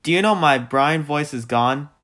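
A man's voice: on the high side, moderate pace, fairly bright tone, soft background music. A man speaking casual cartoon dialogue in an everyday voice.

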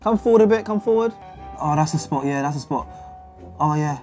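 A man, improvising cheeky, excitable prank banter as a fake drive-thru worker. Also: a little high, brisk. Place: inside a parked panel van. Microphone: handheld or stand microphone with headset. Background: music.

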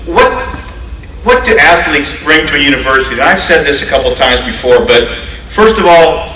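Middle-aged man, university president at a podium, halting delivery, bright tone; echoey auditorium, slightly distant mic. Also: mid-range voice, a little hiss or hum. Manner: halting, many "uh" pauses, reciting dates. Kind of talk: awards ceremony speech. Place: stage of a large auditorium. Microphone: handheld microphone at a podium. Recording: echoey hall, heard from afar.

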